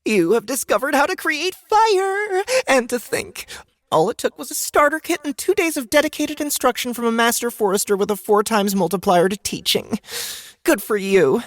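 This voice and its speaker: A woman's voice: fairly high pitch, moderate pace, dry recording, lightly acted. A woman voicing a perky, sarcastic game system announcer in a fantasy audiobook. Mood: mock-congratulatory.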